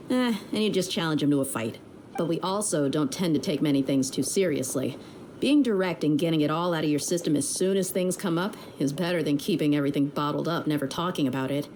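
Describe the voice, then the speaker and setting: A woman's voice: deep voice, darkish tone, slight background noise. An actress playing a tough, frank female mercenary leader in a game, easygoing and teasing. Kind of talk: video game cutscene dub.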